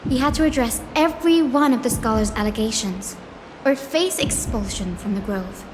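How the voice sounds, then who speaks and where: A woman voicing an inquisitive young adventurer in a fantasy video game, with an expressive, conversational, playful delivery. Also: fairly high pitch, normal speed, a little hiss or hum, lightly acted. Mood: storytelling. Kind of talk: video game character dialogue and storytelling. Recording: studio voice over game music. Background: game music.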